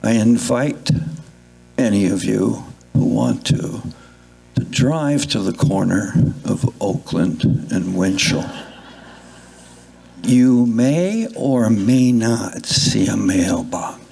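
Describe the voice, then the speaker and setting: Older guy presenting at a theatre event, slow measured talking, formal thank yous and announcements. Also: fairly low pitch, expressive, vocal fry, mellow, a little hiss or hum. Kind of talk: live public address, thanks and announcements. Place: indoor live theatre event, speaking to an audience.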